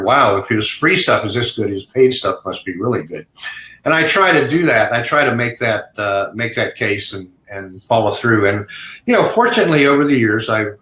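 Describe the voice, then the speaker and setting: A man's voice: mid-range voice, fairly bright tone. A man speaking as a webinar guest in an earnest, reassuring way, with many restarts and fillers. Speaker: guest, online seller resource owner. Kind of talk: casual webinar talk. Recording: echoey room sound.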